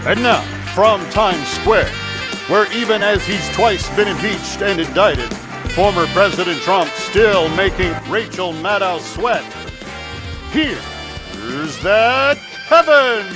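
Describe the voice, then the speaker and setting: Male announcer, theatrical scripted show opener, high register, loud music bed. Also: expressive. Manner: theatrical, drawn-out announcer delivery. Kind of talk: scripted late-night show introduction. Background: loud show theme music.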